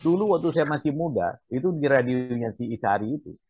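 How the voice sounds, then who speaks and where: An older man with a Medan accent tells an anecdote in an animated, swooping voice. He sounds husky, and the recording is dry and dull. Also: mid-range voice, a little fast, dry recording. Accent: Medan Indonesian. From Medan, Indonesia. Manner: lively anecdotal. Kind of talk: casual panel discussion.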